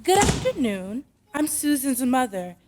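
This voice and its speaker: A woman playing a brisk, practical, skeptical working mother in an old-time radio drama, dry and matter-of-fact. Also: sits high, sing-song, noisy background. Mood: brisk, wry.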